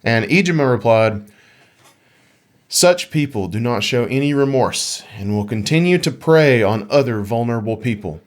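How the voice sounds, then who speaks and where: A man's voice: mid-range voice, expressive, moderate pace. A man co-hosting a gaming podcast, chatting casually and jokingly, putting some playful drama on anything he reads out. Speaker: podcast co-host. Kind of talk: casual conversational podcast chat about gaming.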